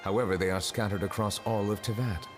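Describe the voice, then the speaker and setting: Man voicing a deep, solemn dragon judge. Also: steady tone, close to an everyday voice. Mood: grave. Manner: formal, measured, reflective. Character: ancient dragon in human form, solemn male chief justice. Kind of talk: video game dialogue. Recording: game dialogue with music behind.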